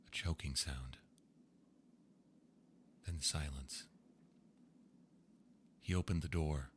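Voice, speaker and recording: Very deep-voiced man narrating ghost stories. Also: slight background noise. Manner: slow, hushed storytelling. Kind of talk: scripted ghost story narration.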